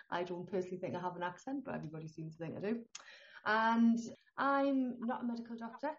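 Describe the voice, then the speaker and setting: A middle-aged to older woman with a northeast English accent, a holistic healthcare guest talking in a chatty, animated way and laughing often. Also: medium pitch, fairly quick. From northeast England. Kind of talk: conversational interview. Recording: dull lo-fi sound.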